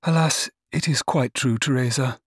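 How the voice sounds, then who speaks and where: A gentle young lady from a period novel, put on by a male reader. The voice is raised well above his own, faint and sighing, with a resigned, genteel air. It is recorded close and dry. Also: mid-range voice, moderate pace, breathy edge, dry recording, pitched above the performer's own voice, close to an everyday voice. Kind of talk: dramatised period novel narration.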